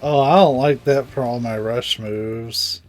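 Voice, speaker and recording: A man's voice: mid-range voice, measured pace. A man streaming a video game with casual, blunt, sweary commentary. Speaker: gameplay streamer. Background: game music.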